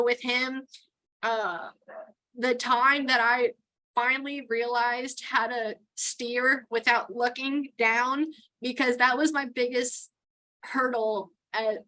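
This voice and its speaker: A woman's voice: fairly high pitch, sharp tone, low-quality recording. A middle-aged woman from New York with a Texan accent, chatting casually as an interview guest and laughing often. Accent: Texan with some New York. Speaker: guest, towboat port captain.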